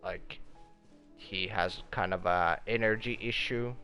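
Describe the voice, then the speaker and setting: A laid-back man streaming a game, with a fairly low, clean voice. He talks slowly and casually, swearing freely, with faint music behind him. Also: bright, forceful tone. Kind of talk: casual chat while playing a video game.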